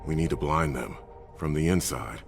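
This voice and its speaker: A cautious, uncompromising vampire strategist, with an extremely deep voice full of creak. He is blunt and matter-of-fact in dramatic game cutscene style, with music underneath. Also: normal speed. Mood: stern, insistent.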